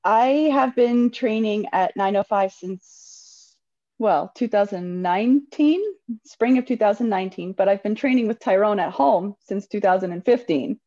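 Mellow-voiced woman in her forties. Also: medium pitch, measured pace, muffled recording. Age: 40s. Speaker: guest, home daycare provider. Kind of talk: casual interview.

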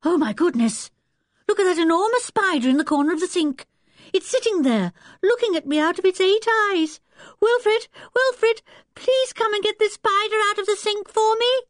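A woman voicing an anxious, squeamish young girl in a storybook, fretful and expressive. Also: medium pitch, normal speed, breathy edge, dry recording, studio quality. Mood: panicked.

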